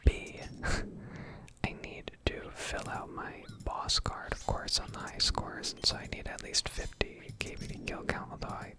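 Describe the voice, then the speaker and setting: A woman softly doing ASMR commentary over video game play, hesitant and self-deprecating, close to the microphone. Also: a little slow. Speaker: gaming video creator.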